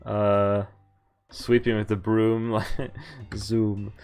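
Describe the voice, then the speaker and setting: Animated male streamer over game music. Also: on the low side, drawn-out words, smooth. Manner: stumbles over words while reading and corrects himself; animated, jokey reactions. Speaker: game streamer doing a visual novel playthrough. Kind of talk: reading game text aloud with casual commentary.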